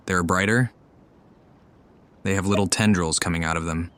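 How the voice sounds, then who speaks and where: A man's voice: sits low, fairly even intonation, moderate pace, fairly bright tone. A man giving casual, musing commentary as he plays a video game. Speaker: gamer commenting on a playthrough. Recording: game music behind the voice.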